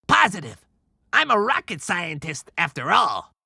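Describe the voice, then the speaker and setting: An actor playing an excitable, stubborn, wisecracking sidekick of Santa's in an audiobook drama, with a creaky, bright voice. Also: medium pitch, measured pace, no echo, clean studio sound, exaggerated voice. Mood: assured. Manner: sarcastic, animated, mouthy. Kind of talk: cinematic audiobook character acting.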